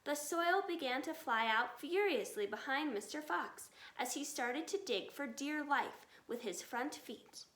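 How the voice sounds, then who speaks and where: A woman reads a children's story aloud with expression. Her voice is high and bright, and she takes her time over the words. The room adds a noticeable echo. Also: animated, a little slow, hardly any pauses. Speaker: storybook reader. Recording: echoey, reverberant room.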